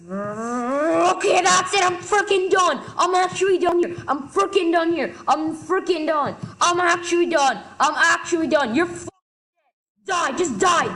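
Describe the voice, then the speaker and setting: Excitable woman trash-talking over gameplay. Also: very high-pitched. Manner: excitable, quick to shout in frustration, trash-talking. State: a little sick. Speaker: gaming commentator. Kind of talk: casual gameplay commentary.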